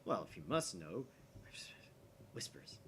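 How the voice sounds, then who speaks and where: A man's voice: low-pitched, sing-song, takes it slowly, noisy background, voice pushed down, full character voice. A man voicing a grandiose, paranoid young mad scientist from a visual novel, in a theatrical, conspiratorial manner. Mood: smug, conspiratorial.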